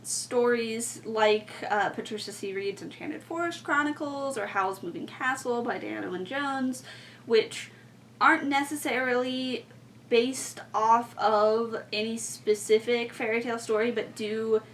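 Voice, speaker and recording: A woman's voice: a little high, measured pace. A young woman explaining things in a casual, rambling way, with plenty of informal filler words. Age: young adult. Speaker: podcast co-host and student writer. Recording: distant mic, faint background noise.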